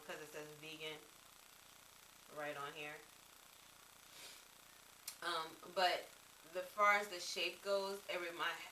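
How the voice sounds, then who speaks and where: A woman with a bright, crisp-edged voice talks through her makeup brushes casually, rambling with ums and restarts. She sounds a little congested from a cold. She is recorded by a camera mic some way off, in a room with a lot of echo and a faint hum of background noise. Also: fairly low pitch, recorded from a distance. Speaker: beauty vlogger. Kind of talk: casual product review.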